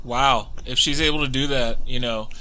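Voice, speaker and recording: Young man, podcast co-host, laid-back and jokey, very bright tone, casual chat. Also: mid-range voice, fairly even intonation, normal speed, low-quality recording.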